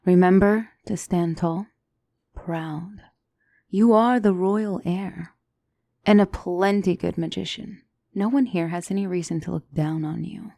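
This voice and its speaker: Woman as a low, velvety demonic tutor. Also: fairly even intonation, vocal fry, mellow, no echo. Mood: encouraging. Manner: formal, measured, courtly phrasing. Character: poised female demonic tutor, formal, wise, gently reassuring mentor. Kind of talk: ASMR fantasy roleplay, scripted character acting.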